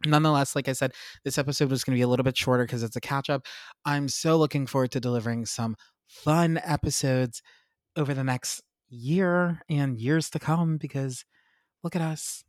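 A young man chats through his solo podcast with a stuffy, congested voice, upbeat and quick to poke fun at himself. His voice sits at an ordinary middle pitch. It is recorded close and dry, with no room sound. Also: steady tone, no echo. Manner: chatty, upbeat, self-mocking asides. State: congested, clearing throat. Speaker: podcast host. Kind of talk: casual solo podcast chat.

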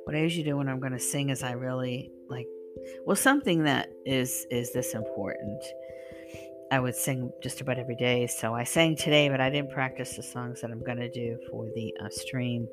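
A woman singer and channel host, hoarse and losing her voice, talking casually and rambling with many fillers and restarts. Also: very deep voice, steady tone, brisk, over music. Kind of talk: casual spoken monologue to listeners.

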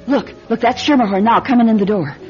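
A woman's voice: fairly high pitch, quick pace, darkish tone, close to an everyday voice. An actress playing a sly young tavern woman who puts on sweetness, in an old-time radio drama. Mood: confiding. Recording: dull lo-fi radio show, faint music.

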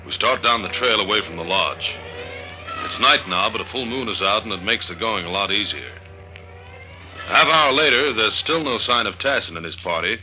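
A man's voice: fairly low pitch, animated, moderate pace, sharp tone, slight background noise, rough recording. A man playing a hard-boiled, no-nonsense investigator who narrates his own adventure in clipped sentences, in 1950s radio drama style. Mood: terse narration. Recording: old radio recording with music.

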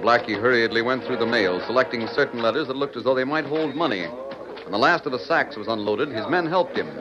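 A man's voice: medium pitch, brisk, music in the background, close to an everyday voice. Man as dramatic old-time radio narrator. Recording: muffled, noisy vintage radio recording.

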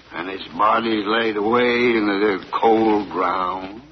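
An actor playing an old rural farmer on trial, talking in a slow, plain, earnest drawl. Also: male voice, mid-range voice, close to an everyday voice. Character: old farmer on trial, defending his hound. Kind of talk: 1940s radio acting. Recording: dull old radio broadcast, faint hiss.

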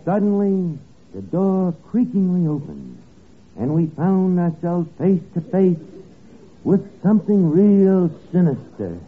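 Eager, high-pitched detective's sidekick, tinny old radio. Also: male voice, animated, slow. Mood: mock-dramatic. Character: eager, dim male detective's sidekick, narrating the case. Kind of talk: 1950s radio comedy detective spoof acting. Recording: tinny, band-limited old radio recording with hiss.

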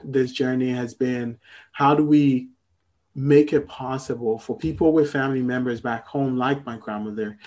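A middle-aged man from Ghana, speaking as a guest in an interview, measured and thoughtful with frequent pauses. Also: medium pitch, a little mellow. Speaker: guest, entrepreneur. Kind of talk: interview conversation.

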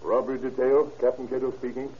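Actor doing a clipped bossy police captain, middle pitch, old radio crime show, dull hissy sound. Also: male voice, flat delivery, fast talker, warm tone, close to an everyday voice. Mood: urgent. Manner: clipped, authoritative. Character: brisk, commanding male police captain. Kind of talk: old-time radio crime drama acting. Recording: dull, noisy vintage radio recording.

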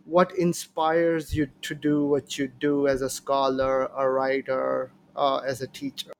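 A man's voice: medium pitch, completely flat delivery, measured pace, gravelly. A man hosting a podcast in a formal, courteous manner, reading a prepared introduction and putting questions to a guest. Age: adult, professor. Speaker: podcast host. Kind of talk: scripted introduction and interview hosting. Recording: noticeable background noise.

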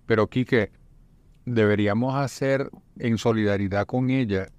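A man talking casually among fellow writers, making measured, thoughtful suggestions. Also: lowish voice, steady tone, darkish tone, dry recording. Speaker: guest poet. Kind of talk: casual conversation.

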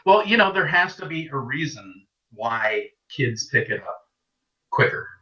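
Laughing golf coach from Canada, roomy and distant. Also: male voice, mid-range voice, very animated, measured pace, bright, forceful tone. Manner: laughing often, rambling storytelling. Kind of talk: casual interview chat. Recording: distant, echoey, dull sound.

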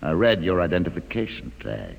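An actor playing a calm, educated male mutant leader in a 1950s radio drama, measured and quietly ironic. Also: sits low, gravelly, slightly rough, heavily acted. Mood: calm, wry. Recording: old radio drama with long reverb.

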